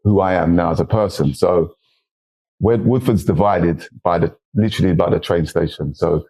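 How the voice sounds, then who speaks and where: A man in his forties with an East London accent, a counsellor chatting as a podcast guest in a relaxed, rambling way. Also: deep voice, normal speed, rough recording. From East London, England. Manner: relaxed, rambling with restarts and frequent agreement. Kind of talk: casual interview chat.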